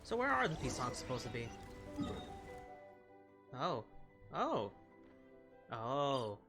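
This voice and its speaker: A woman's voice: a bass voice, very animated, takes it slowly, over music. A woman giving casual commentary while playing through a video game story. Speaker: gamer commentating on gameplay.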